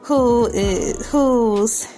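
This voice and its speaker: Slow, high-voiced playful woman over music. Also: warm tone. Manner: playful, giggly, easily amused by what she reads. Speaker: online video host. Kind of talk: casual commentary while reading a news article aloud.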